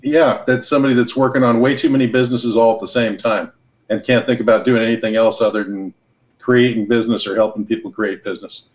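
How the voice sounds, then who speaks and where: A man's voice: mid-range voice, fairly even intonation, quick pace, dull audio. A middle-aged American man talking as a podcast guest, explaining business casually in plain, colloquial language. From Los Angeles and Hawaii, United States. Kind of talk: casual conversational interview, explaining with anecdotes.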